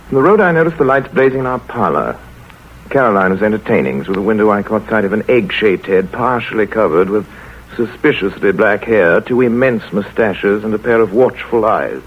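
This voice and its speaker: A calm English country doctor. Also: male voice, fairly low pitch, fairly quick, husky. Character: level-headed English country doctor and narrator. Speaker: narrator. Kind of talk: 1930s radio drama acting. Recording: scratchy tinny old radio broadcast.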